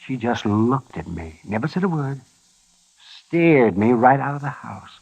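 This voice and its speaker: Crusty older western doctor, American accent, animated highish male voice, garrulous, muffled vintage radio recording. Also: a little slow, slightly rough, heavily acted. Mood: chatty, rueful. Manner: garrulous, folksy, emphatic. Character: crusty, chatty older frontier town doctor. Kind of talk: 1950s radio western acting.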